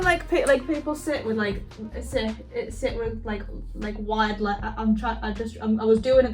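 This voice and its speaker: A woman hosts a casual behind-the-scenes vlog in a fairly high voice. She rambles chattily, stopping and restarting her sentences. Soft music sits behind her. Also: far from the mic. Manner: rambling, halting, self-interrupting. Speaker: video creator and host. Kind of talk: casual chatty vlog about audio production.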